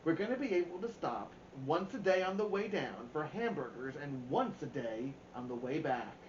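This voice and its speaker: A man voicing a brisk, organized mother who announces road-trip plans with emphasis in a storybook. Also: on the high side, sing-song. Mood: organized, upbeat. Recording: roomy, dull, faint background noise.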